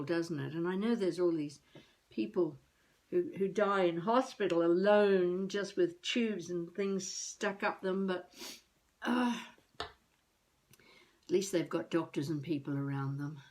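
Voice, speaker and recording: A woman playing a feisty elderly would-be novelist, rambling wryly and haltingly through a comic monologue as a video diary. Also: on the low side, a little mellow. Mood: reflective, darkly comic. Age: older. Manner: wry, musing, halting, talking to herself.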